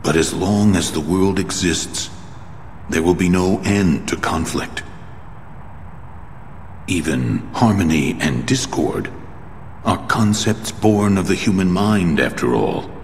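An actor playing a grave, wistful ancient creator sage in a video game cutscene, speaking in measured, formal phrases. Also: male voice, deep voice. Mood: philosophical, grave. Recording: long cavernous reverb, faint background noise.

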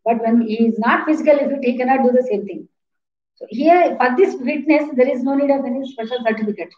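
A woman's voice: high-pitched, fairly quick. A woman in her fifties with an Indian accent, lecturing an online class in a didactic, repetitive way with questions to students. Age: 50s. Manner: didactic, repeats points, poses questions. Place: small plain room indoors. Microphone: webcam or laptop mic at a distance. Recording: roomy, echoing, dull laptop-mic sound.

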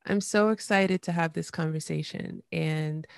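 A woman's voice: deep voice, fairly even intonation, a little slow, creaky, no echo, studio quality. Eager, enthusiastic podcast host woman. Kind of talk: scripted intro then casual interview.

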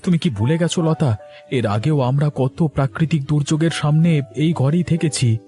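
A man voicing a calm, devout village husband in a cartoon, gentle and resigned as he reassures. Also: on the high side, moderate pace, faint music behind, close to an everyday voice. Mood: reassuring. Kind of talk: cartoon voice acting.

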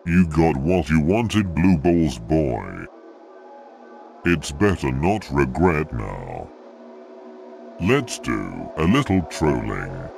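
A man voicing a menacing, coldly taunting troll-like monster in a video game, speaking in a slow, ominous, gravelly way. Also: a bass voice, very animated, music in the background, heavily acted. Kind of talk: villain monologue in a rhythm game mod.

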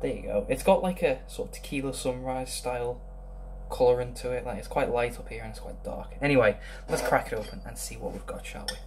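A man's voice: mid-range voice, a little fast, background noise. A young man casually reviewing a beer at home, rambling with lots of ums. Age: young adult. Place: small room indoors.

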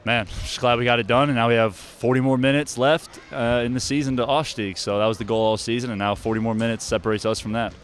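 A young basketball player speaking English in a relaxed, casual post-game interview with plenty of fillers, recorded on a handheld mic in an arena. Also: male voice, medium pitch, quick pace, hardly any pauses. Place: indoor arena.

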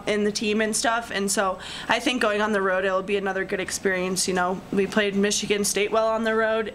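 A woman's voice: medium pitch, fairly bright tone, slight background noise. Upbeat young woman basketball player. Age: young adult. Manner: chatty, upbeat, many "um" and "you know" fillers. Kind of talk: post-game press conference answers. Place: press room in front of a sponsor backdrop. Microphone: desk microphone.

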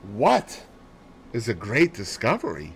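A man's voice: lowish voice, wildly swooping pitch, creaky, slightly rough, full character voice. Man voicing a fussy, lilting detective. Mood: placid, gently challenging. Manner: precise, deliberate, peppered with French phrases. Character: fussy, placid Belgian male detective, growing old. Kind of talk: audiobook character dialogue.

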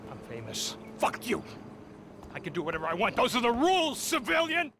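An actor playing a vain, entitled American film star in a satirical video game, pompous, affected and condescending. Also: male voice, very high-pitched, big pitch swings, a little fast, pushed and bright, slight background noise, full character voice. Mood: indignant.

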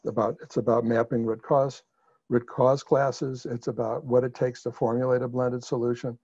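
A man's voice: medium pitch, level tone, moderate pace, a little mellow, husky, no room sound. A man with a Chicago accent presenting a webinar over a video call, talking conversationally with fillers and restarts. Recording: muffled video call audio.

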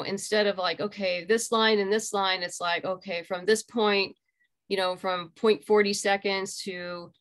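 A middle-aged woman giving casual, explanatory interview answers on a video call, haltingly thinking aloud. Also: medium pitch, fairly bright tone. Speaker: interview guest, audio drama creator. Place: small room at home.

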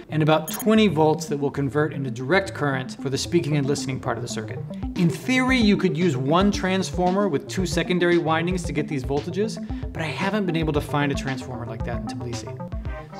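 A man in his forties with a slightly high-pitched voice speaks as the host of a maker video. His delivery is measured and explanatory, scripted for the camera and picked up on a lapel mic, with prominent music playing behind him. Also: a little mellow. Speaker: host of an electronics build channel. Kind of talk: scripted presenting to camera, explaining a DIY build. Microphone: lapel microphone.

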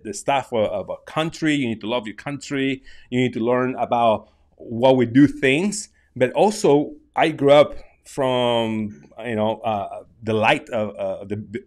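Mexican-accented man, halting casual talk. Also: mid-range voice. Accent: Mexican Spanish. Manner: halting, many restarts and fillers, gestures with hands. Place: small treated home studio, indoors. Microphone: large studio mic on desk.

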